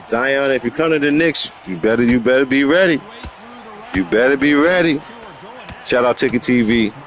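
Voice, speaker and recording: Lively, slangy man chatting outdoors. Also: mid-range voice, normal speed. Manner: animated and slangy, often laughs. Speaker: vlogger. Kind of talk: casual vlog chat. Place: outdoors on a dog walk.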